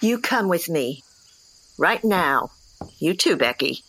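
An actress playing a stern, practical old rural great-aunt in an audio drama, with a folksy, no-nonsense way of talking. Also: female voice, lowish voice, very animated, slow, a bit bright, exaggerated voice, rough recording. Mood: firm. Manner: firm, no-nonsense.

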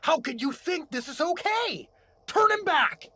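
A man's voice: very high-pitched, sing-song, unhurried, pushed and bright, dry recording, full character voice. A man playing an exasperated, blustering everyman, a reluctant hero trapped in a comedic fantasy audio drama, indignant and incredulous.

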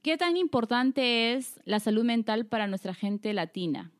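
A woman's voice: fairly high pitch, expressive, measured pace. Woman host, easy conversational manner. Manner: conversational, ends phrases with "¿no?" tags. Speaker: podcast host. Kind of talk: casual podcast interview.

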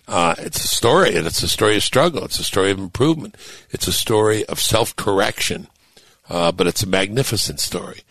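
An older man with a very deep voice talks on a radio show. He hesitates and restarts, then lands on emphatic points. The studio sound is dry and close. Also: animated, fairly bright tone, no room sound. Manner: thoughtful, halting restarts, builds to emphatic points. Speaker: host and author. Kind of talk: casual radio talk show conversation.

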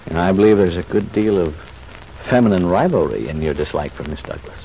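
An actor playing a calm, frank doctor in an old-time radio soap opera, with a brisk, matter-of-fact manner. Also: male voice, sits low. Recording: faintly noisy vintage radio recording.

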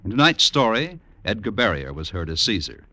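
A man's voice: on the low side, sing-song, fairly quick, sharp tone, dry recording. A man with an American accent reading scripted 1950s network radio announcements in a formal, polished, emphatic way.

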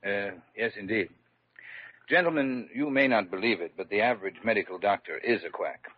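A man playing a middle-aged quack doctor who flatters his patients like a salesman, in a 1940s radio drama. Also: lowish voice, a little fast, husky. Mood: oily. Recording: dull old radio broadcast.

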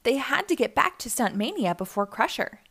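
Lively, high-voiced woman reading a storybook. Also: brisk, gravelly, breathy edge. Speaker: children's book reader. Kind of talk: expressive read-aloud storybook narration.

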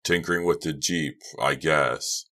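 Man voicing a deep, short-tempered macho young guy. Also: a little slow, fairly bright tone. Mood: matter-of-fact. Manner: emphatic, defensive. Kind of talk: audiobook character voice.

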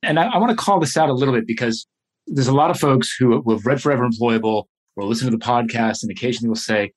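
A man's voice: medium pitch, quick pace. Male podcast host, interviewing, curious follow-up questions. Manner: inquisitive, asks follow-up questions. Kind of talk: interviewing a guest.

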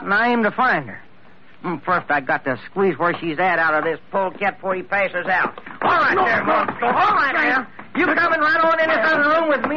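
A man's voice: very high voice, a little hiss or hum. An actor playing a hot-tempered, chivalrous young Texan gunman, speaking in a folksy Texas drawl in an old radio drama. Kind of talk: 1950s radio drama acting. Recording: muffled old radio recording.

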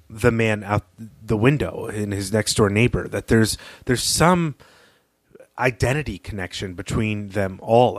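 A man's voice: fairly low pitch, no echo. A man co-hosting a podcast, giving reflective, opinionated analysis of a story. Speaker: podcast co-host. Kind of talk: analytical literary discussion.

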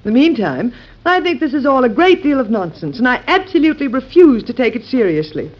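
An actress playing a calm, sensible, dismissive wife and mother in an old-time radio drama. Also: high-pitched, fairly quick. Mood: unruffled, dismissive. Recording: dull old radio recording.

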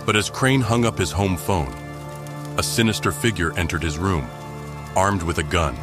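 A man's voice: low-pitched, normal speed, natural voice. A man narrating a scripted crime mystery audio drama as a grave storyteller. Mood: grave, suspenseful. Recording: background music under the voice.

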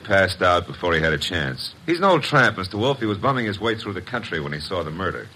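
An American actor playing a plainspoken, blunt detective's assistant in a classic radio mystery. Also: male voice, low voice, big pitch swings, fast talker, bright, forceful tone, exaggerated voice. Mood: alert, urgent. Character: brisk, plainspoken male detective's assistant, a tough legman. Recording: old noisy radio recording.

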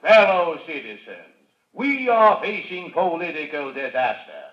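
An actor playing a bombastic politician giving a grandiose, solemn address in 1940s radio oratory. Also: male voice, on the high side, big pitch swings, unhurried, a bit bright. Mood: grave, bombastic. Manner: grandiose, declamatory. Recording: distant, echoey, dull radio sound.

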